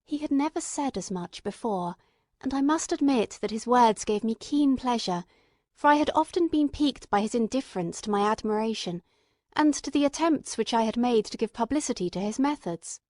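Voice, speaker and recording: A woman voices an earnest, eager male companion telling a detective story. The voice is fairly high, with careful, literate audiobook phrasing. The recording is dry, with hardly any room sound. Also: expressive, normal speed, a little mellow, no room sound, lightly acted. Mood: composed. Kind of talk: scripted audiobook narration.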